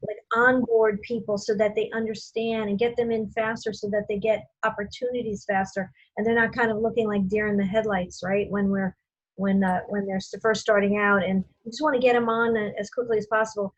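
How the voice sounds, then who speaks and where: A woman's voice: medium pitch, flat delivery, brisk, no echo. A woman hosting a casual video call meeting, rambling with many fillers and restarts. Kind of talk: casual meeting host talk. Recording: muffled video call audio.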